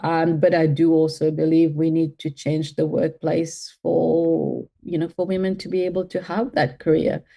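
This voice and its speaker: Low-voiced woman from Mauritius, thoughtful. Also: flat delivery, normal speed, gravelly, few pauses, dark tone. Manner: thoughtful, with many fillers and restarts. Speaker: guest, health tech CEO. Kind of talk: interview answers.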